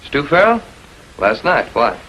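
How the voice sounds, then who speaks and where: A man's voice: a little high, sing-song, unhurried, pushed and bright, dull audio, exaggerated voice. An actor playing a smug, flippant male schemer in a 1940s filmed crime drama, glib and sardonic under questioning. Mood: irritated. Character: smug, flippant, self-satisfied brother-in-law, male schemer. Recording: noisy old 1940s film soundtrack.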